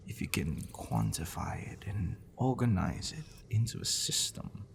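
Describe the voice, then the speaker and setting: A man playing a possessive, smitten professor in an intimate ASMR roleplay, wordy and clinical, with teasing, musing pauses. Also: lowish voice, creaky, a little hiss or hum, full character voice.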